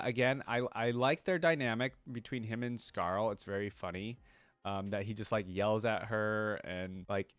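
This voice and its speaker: A man's voice: on the low side, dry recording. A man giving informal, casual fan commentary as a reaction video host. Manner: informal, peppered with "like" and "you know".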